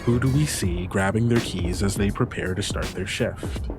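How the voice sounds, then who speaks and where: Low-voiced man narrating as game master. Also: normal speed, over music. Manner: descriptive, dramatic storytelling. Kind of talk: narrating an actual-play tabletop story.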